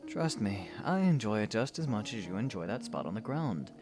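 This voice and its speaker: A young male hero played by a man in an audio drama, dry and guarded, politely exasperated. His intonation moves around a lot, and music plays clearly beneath his lines. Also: fairly low pitch, sing-song, moderate pace. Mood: dry, strained politeness. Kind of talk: fan audio drama acting.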